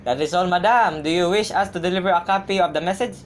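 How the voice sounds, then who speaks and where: A businesslike telegram clerk acted by a woman with a rather low voice, in an amateur radio play. The delivery is courteous and slightly hesitant, over faint noise. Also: fast, lightly acted. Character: polite, businesslike telegram clerk reading messages. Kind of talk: amateur radio drama acting.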